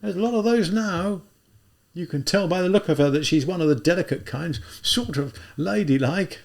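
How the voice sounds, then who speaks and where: A man's voice: high-pitched, expressive, moderate pace, creaky, darkish tone, voice pushed up. A man voicing a kindly, fussy old policeman who frets with pity over a drunk girl. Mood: fretful pity. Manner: fretful, clucking pity.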